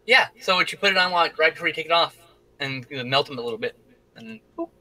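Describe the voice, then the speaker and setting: A man with a strikingly bright, forceful voice, pitched slightly high. He chats casually as a co-host, joking and swearing as he explains everyday things in an animated way. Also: fast, low-quality recording. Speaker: co-host of a weekly geek chat show. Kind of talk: casual joking group chat.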